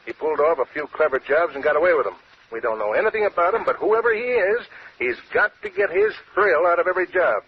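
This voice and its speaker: A homicide inspector, blunt and urgent. He talks fast in a fairly high voice and orders people about. It sounds like a muffled old radio drama. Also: lively intonation, slightly rough. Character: no-nonsense, excitable American male homicide inspector. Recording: muffled, noisy vintage radio recording.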